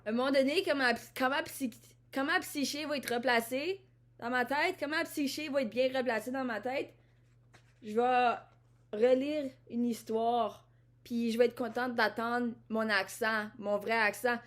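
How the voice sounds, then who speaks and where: A woman's voice: fairly high pitch, fairly even intonation, unhurried, a bit bright. A woman from Ottawa talks to the camera in a rambling, introspective vlog monologue, often repeating and restarting her phrases. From Ottawa, Canada. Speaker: vlogger.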